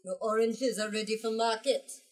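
A woman's voice: on the high side, fairly even intonation, normal speed, distant mic, lightly acted. A woman playing a fond, encouraging fisherman father in a storybook reading, with emphatic delivery. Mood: fond, proud.

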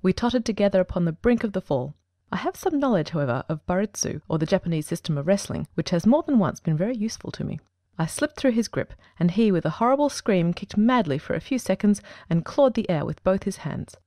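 A woman playing a composed, precise male detective in a dramatic audiobook, speaking in a measured, formal and articulate way. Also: mid-range voice, sing-song, dark tone, dry recording, clean studio sound. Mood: measured, grave.

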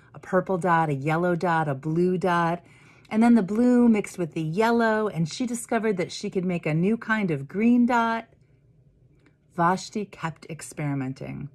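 A middle-aged American woman reading a picture book aloud in a deliberate, expressive way. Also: fairly low pitch. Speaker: minister of religious education, storyteller.

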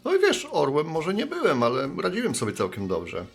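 A man's voice: a little high, huge pitch swings, brisk, soft background music. An actor playing a good-natured, sheepish middle-aged man, a postman and bar regular, talking in a folksy, rambling way in a radio play. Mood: reflective.

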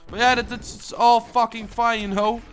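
A young man giving casual gameplay commentary, stammering and swearing, swinging between low mumbles and excited yelling. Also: normal speed, soft background music. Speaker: gaming channel host.

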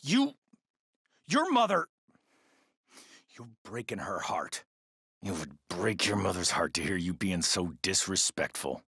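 A middle-aged American military stepfather in a game. He lectures sternly in a husky, gruff voice that swings widely in pitch. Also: big pitch swings, unhurried, pauses often, bright, forceful tone, dry recording, studio quality, exaggerated voice. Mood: indignant. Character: stern, gruff ex-army stepfather with wounded authority. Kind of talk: video game voice acting.

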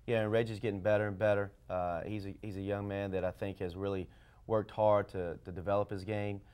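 A middle-aged man with a lowish voice talks as a basketball coach on a studio set. He speaks in a measured way, leaning on fillers and restarting sentences. The sound is a clean lapel mic in a TV studio. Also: level tone, a little mellow, clear, resonant tone. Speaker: head basketball coach, show guest. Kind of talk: casual studio interview.

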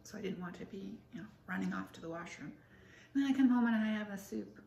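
A raspy, gravelly-voiced woman in her sixties rambles through her day in a plain, matter-of-fact tone. She is recorded on a close camera in a roomy living room with a faint hiss behind her. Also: mid-range voice, quick pace, mellow. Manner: rambling, matter-of-fact, snacking while talking. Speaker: vlogger. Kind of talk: casual chat to camera. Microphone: camera close up on a table.

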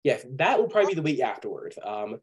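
A man teaching an online coding class over a video call, sick right now, talking in a relaxed, patient way with many pauses and ums. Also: medium pitch, husky, highs cut off.

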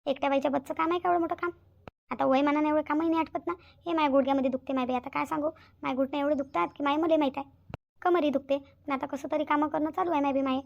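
Woman performer, middle-aged village mother, cartoon, Varhadi Marathi accent, complaining, quick, high-pitched. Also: fairly even intonation, creaky, dull audio. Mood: weary complaining. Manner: chatty, complaining. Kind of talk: cartoon voice acting.

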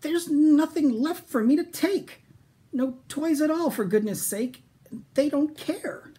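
Man doing a high, whiny, greedy young dinosaur. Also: takes it slowly, mellow. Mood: wailing. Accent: American. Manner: roaring, whining, possessive. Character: greedy, tantrum-throwing young male dinosaur.

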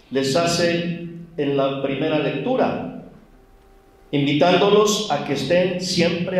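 Priest, male, Mexican Spanish accent, unhurried homily, slightly high voice, far off in a booming church. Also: very echoey. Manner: slow and deliberate, gently scolding asides. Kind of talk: wedding homily.

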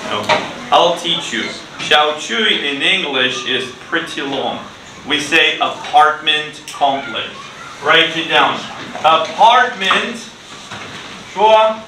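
A middle-aged man teaching English to children, speaking deliberately in simple phrases and repeated questions. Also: on the high side, animated. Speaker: ESL teacher. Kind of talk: interactive classroom teaching to children. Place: school classroom, indoors. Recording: roomy, distant classroom recording with clear noise.

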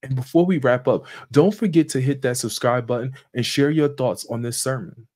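A man's voice: medium pitch, normal speed, a little mellow. Man reading a sermon review to a webcam. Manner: reading a script aloud, with small slips and restarts. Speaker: channel host. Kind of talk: scripted video review. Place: home office with bookshelves.

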